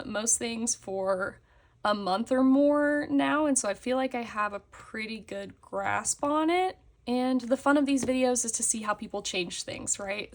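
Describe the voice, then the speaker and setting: A young woman, slightly hoarse after a concert, chatting casually as she shows her planners on camera. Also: a little high. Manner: chatty, with fillers and frequent laughs. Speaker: planner vlogger. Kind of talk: casual show-and-tell chat. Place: indoors at a desk, hands on a table.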